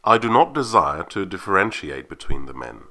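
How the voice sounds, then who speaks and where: Very deep, bright-voiced man narrating. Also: big pitch swings, normal speed. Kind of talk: scripted reading of a historical inquest report.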